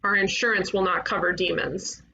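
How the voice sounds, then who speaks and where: A woman playing a brash, eager young ghost detective, cheeky and emphatic, in an animated comedy table read. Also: on the low side, moderate pace, creaky, dull audio. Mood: eager pitching.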